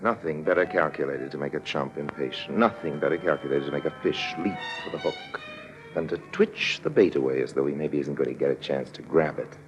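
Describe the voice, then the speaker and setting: An actor playing a suave, sly con artist in a 1950s radio drama, smug and smoothly casual. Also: male voice, extremely low pitch, animated, a little fast, music in the background. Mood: smug, confiding. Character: suave, sly male con artist narrating his swindle.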